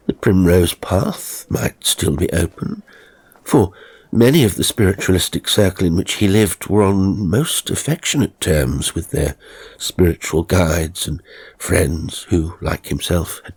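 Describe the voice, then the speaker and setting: A man narrating a ghost story from a script in a measured, theatrical, genteel manner. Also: low-pitched, a little mellow, breathy edge.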